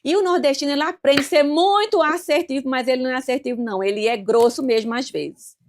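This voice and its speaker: Northeastern brazilian woman, fairly high voice, coachy and emphatic, drags out words for effect. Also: quick pace, a little hiss or hum. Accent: Northeastern Brazilian Portuguese. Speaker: guest speaker. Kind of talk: motivational studio talk.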